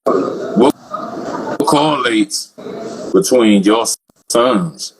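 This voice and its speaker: A man's voice: mid-range voice, animated, very slow, noisy background. A man hosting a casual interview who jokes and often repeats words as he starts his questions. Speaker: interviewer host.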